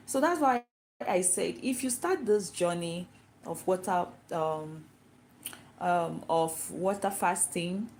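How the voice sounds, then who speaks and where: Nigerian woman vlogger with an Igbo first language, low-pitched voice, casual and talkative, walks through her reasons point by point. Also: a little mellow, slight background noise, poor audio. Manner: chatty and explanatory, asks herself questions and answers them. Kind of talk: casual talk to camera.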